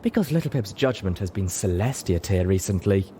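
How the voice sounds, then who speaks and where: A man's voice: low-pitched, expressive, a little fast, mellow, a little hiss or hum. A man voicing a ladylike female unicorn, drawling and casually cutting, in an audiobook. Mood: withering. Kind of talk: audiobook character voice.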